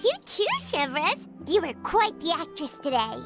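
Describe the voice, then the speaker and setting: Little chatty fairy sidekick, squeaky childlike voice, lively intonation, video game, prominent music behind. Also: female voice, moderate pace. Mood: chirpy. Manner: cutesy, refers to self in third person. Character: small childlike floating fairy companion, cheerful, chatty.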